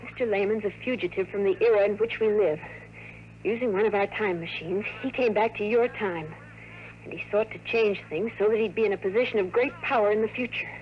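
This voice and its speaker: A woman's voice: medium pitch, a little fast, lo-fi sound, natural voice. Woman acting an earnest, conflicted radio heroine. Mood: earnest, resigned. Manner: earnest, intense, melodramatic. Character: earnest, conflicted young woman time traveler, anxious. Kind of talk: 1950s radio drama acting. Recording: noisy old radio drama recording.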